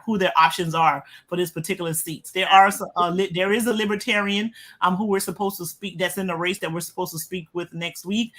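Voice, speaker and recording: Woman cohost, english not her first language, bright voice, rambling with lots of ums. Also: on the low side. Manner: rambling, many fillers and restarts. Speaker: co-host. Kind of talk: casual political talk show hosting.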